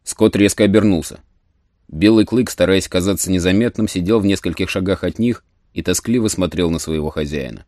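A man's voice: low-pitched, fairly quick, a little mellow, dry recording, studio quality. A man narrating a story as a scripted dramatic audiobook. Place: studio.

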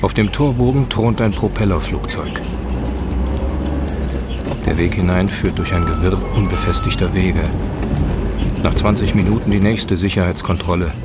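A man reading scripted, scene-setting narration for a documentary radio drama in a measured, descriptive way. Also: deep voice.

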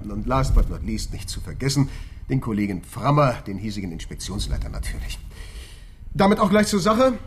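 An actor playing a formal senior police detective, brisk and officious, in a naturalistic radio crime drama. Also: male voice, medium pitch, very animated, a little hiss or hum, close to an everyday voice. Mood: formal, businesslike.

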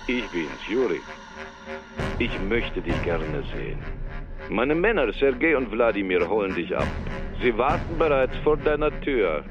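An actor playing a curt, commanding crime boss in a radio drama, heard through a phone message filter. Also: male voice, lowish voice, very animated, unhurried, highs cut off, music in the background. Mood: coolly commanding. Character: commanding Russian male crime boss leaving a summons.

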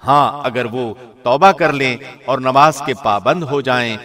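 A man reading a scripture translation in a formal, measured, solemn way, phrasing clearly like a professional radio presenter. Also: medium pitch, a bit bright.

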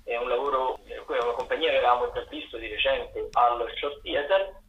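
A man giving spoken listings of theatre and dance events as a radio correspondent, haltingly, searching for words. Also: mid-range voice, lo-fi sound. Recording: roomy echo, faint background noise.

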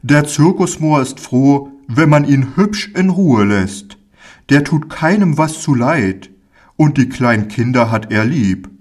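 A man as slow-talking, kindly village fellow. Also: medium pitch, animated, darkish tone, pitched above the performer's own voice. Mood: soothing. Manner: slow, soothing, earnest folksy address. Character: kindly, fatherly village man, gently reassuring and admonishing.

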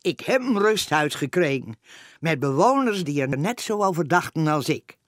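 A woman's voice: low voice, sing-song, normal speed, no echo, clean studio sound. An actress playing a rest-home nurse who narrates her own story chattily to the listeners of a radio comedy. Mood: fond storytelling. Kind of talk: scripted first-person narration in radio comedy.

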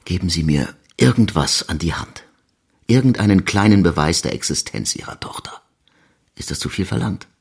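A man's voice: deep voice, animated, moderate pace, vocal fry, slightly rough, exaggerated voice. An actor playing a blunt, probing male chief inspector who presses his questions in a radio crime drama. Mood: stern. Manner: confronting, insistent interrogation.

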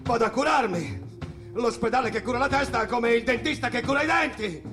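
An actor playing a troubled, bitter jazz musician confined in a hospital, in a dramatic theatrical monologue. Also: male voice, very high-pitched, brisk, soft background music. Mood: furious, shouting. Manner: dramatic, swinging from hushed murmurs to shouted outbursts.

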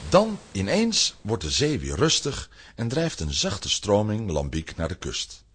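A man with a husky voice narrating a scripted children's radio play as an animated storyteller. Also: on the low side, measured pace.

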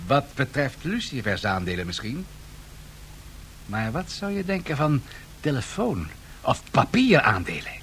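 An actor playing a bold, impatient, ambitious tycoon in a radio drama, with a brisk, commanding manner. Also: male voice, medium pitch, very animated, fairly bright tone, slight background noise. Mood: bold, expansive. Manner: brisk, commanding, self-assured.

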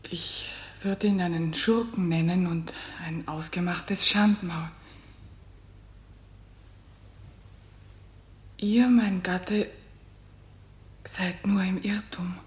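Wronged queen, proud and solemn, unhurried classical radio-drama delivery, echoing room. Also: on the low side, steady tone. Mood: hushed, hurt. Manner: measured, solemn, declamatory. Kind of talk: classical German radio play stage acting.

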